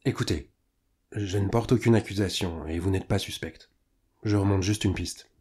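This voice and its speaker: A man playing an insistent police inspector, measured and dryly sarcastic, in a French audio drama. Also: low voice, darkish tone, slightly rough. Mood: measured, polite.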